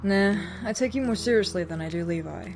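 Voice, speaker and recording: A woman's voice: mid-range voice, a little fast, close to an everyday voice. A woman plays a female survivor in an apocalypse audio drama. She has a mid-range voice and a blunt, sardonic, casual way of talking, with music behind her. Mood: wry, guarded. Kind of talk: dramatic audio acting, naturalistic dialogue.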